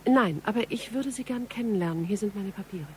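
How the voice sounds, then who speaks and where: An actress playing a polite but persistent police investigator questioning a suspect in a radio crime drama. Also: female voice, mid-range voice, fairly quick, a little mellow, slight background noise, natural voice. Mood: probing, polite. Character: tall gaunt policewoman, probing, polite, persistent interrogator.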